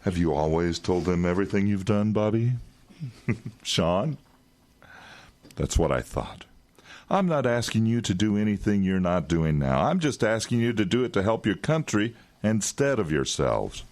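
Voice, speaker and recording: An actor playing a wry, quietly menacing intelligence agent in an audio drama, talking in an insinuating, condescending way. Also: male voice, on the low side, very animated, normal speed. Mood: pressuring, cajoling. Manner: insinuating, condescending, with chuckles and hesitations.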